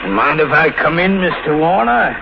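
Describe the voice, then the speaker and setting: Sly blackmailer, slangy, very swooping delivery, old radio thriller, dull recording. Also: male voice, on the high side, sing-song, normal speed, creaky, very noisy. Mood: sly, menacing. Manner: casual, slangy, needling. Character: sly, insinuating stranger, a blackmailing former asylum worker. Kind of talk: 1950s radio thriller acting.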